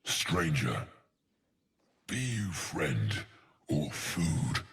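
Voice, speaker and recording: An actor voicing a huge, gluttonous, greedy ogre mercenary in a video game, eloquent and pompous, savoring florid words. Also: male voice, very deep voice, big pitch swings, drawn-out words, a bit bright, breathy edge, heavily acted. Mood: haughty, pleased. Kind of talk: video game character acting.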